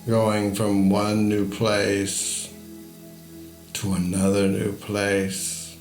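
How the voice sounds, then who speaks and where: Slow, echoey man guiding a meditation. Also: lowish voice, monotone, a little mellow. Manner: slow, measured, deliberate pauses between phrases. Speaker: guided meditation narrator. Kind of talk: guided meditation storytelling. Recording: echoey voice over music. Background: music.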